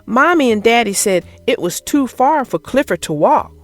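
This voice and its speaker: A woman narrating a children's picture book as an expressive, theatrical read-aloud. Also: a little high, normal speed, dry recording, faint music behind.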